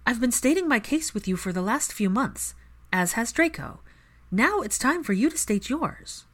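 A woman voicing an earnest young female healer in a story, calm but persistent, reasoning in an insistent way. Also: a little high, moderate pace, voice pushed up.

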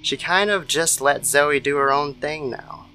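A man reading a scripted dark story as narrator, in the manner of an old-time radio show. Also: fairly high pitch, measured pace, clean tone, low-quality recording. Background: soft guitar music.

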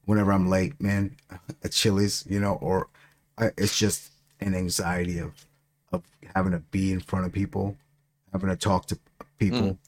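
A middle-aged man with a very low voice who talks with a stutter and a slight lisp. He keeps it loose and conversational on a podcast and swears freely. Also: little pitch movement, moderate pace. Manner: stuttering, casual and profane. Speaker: podcast co-host. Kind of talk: casual chat.

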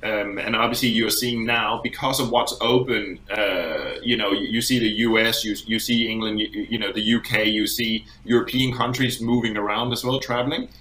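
A man's voice: on the low side, steady tone, normal speed, few pauses, sharp tone. A man from Denmark talking as an industry guest in a conversational interview, often repeating words as he thinks aloud. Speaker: hospitality industry expert guest. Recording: roomy, echoey sound.

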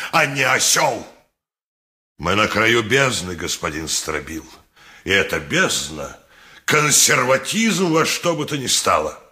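Forceful, slow, preaching high priest. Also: male voice, exaggerated voice. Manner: rhetorical, declamatory sermonizing. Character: solemn, righteous male high priest preaching against a rival. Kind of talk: 1980s Soviet radio play acting.

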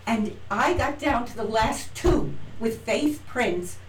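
A middle-aged woman, an actress and acting teacher, telling her story as an interview guest, animated and acting out quoted lines with frequent restarts. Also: fairly high pitch, slow. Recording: roomy, distant from mic, faint noise.

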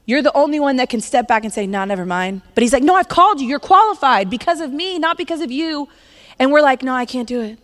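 A woman in her thirties preaches in a chatty, upbeat way, now and then breaking into a laugh. Her voice sits a little high. The sound carries a long reverb, as in a church hall. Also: animated, quick pace, a bit bright. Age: 30s. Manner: conversational, upbeat, laughs between points. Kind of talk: sermon.

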